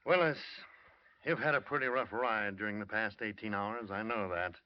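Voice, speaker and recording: An actor playing a blunt, insistent American police lieutenant in old-time radio drama style. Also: male voice, fairly low pitch, moderate pace, natural voice. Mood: firm, pressing. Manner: insistent, terse, hard-nosed. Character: blunt, pressing male police lieutenant questioning a witness. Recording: muffled old radio broadcast recording.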